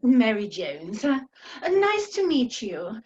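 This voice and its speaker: A woman's voice: a little high, wildly swooping pitch, takes it slowly, a little mellow, distant mic, muffled recording, full character voice. A woman playing a gushing, earnest, arty passenger in a comic radio play.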